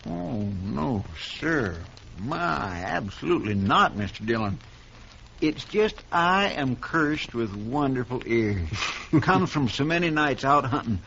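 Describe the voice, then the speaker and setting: A man playing an eager, deferential, soft-hearted deputy in a radio western, with a folksy rural American accent. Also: medium pitch, animated, takes it slowly, creaky, exaggerated voice. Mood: sheepish, eager. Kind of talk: old-time radio western acting. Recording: dull lo-fi recording with background noise.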